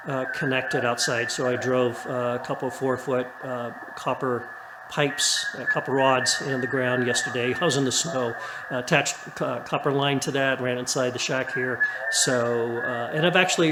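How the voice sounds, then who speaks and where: A man gives a casual, halting, upbeat update as an amateur radio hobbyist in his radio room at home. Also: mid-range voice. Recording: echoey room, clear buzzing radio static. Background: radio static and buzzing.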